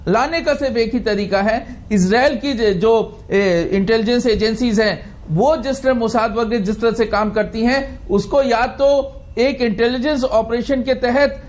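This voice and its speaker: A man with a high-pitched voice gives analysis as a guest on a news panel. He feels his way into sentences, then argues firmly and deliberately. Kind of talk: spoken analysis in a news debate.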